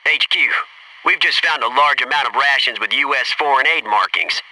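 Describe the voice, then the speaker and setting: An actor playing a gung-ho, wisecracking Navy SEAL soldier in a military video game, giving clipped tactical callouts. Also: male voice, medium pitch, moderate pace, sharp tone. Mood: brisk, businesslike. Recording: noisy radio-style game audio, slightly distant.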